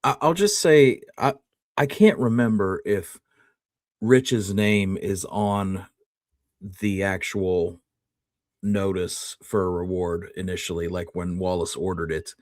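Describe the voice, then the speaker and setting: A man's voice: low-pitched, takes it slowly, a little mellow, dry recording, studio quality. A man co-hosting a history show, casually arguing his opinion and reasoning aloud point by point in informal words.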